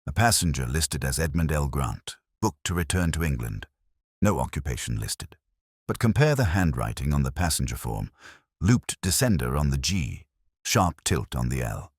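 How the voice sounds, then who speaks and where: Male narrator as grave Victorian detective, extremely low voice, precise and formal, animated delivery, storybook dialogue. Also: normal speed, slightly rough, no room sound, clean studio sound, exaggerated voice. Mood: intent, analytical. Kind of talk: audiobook character dialogue.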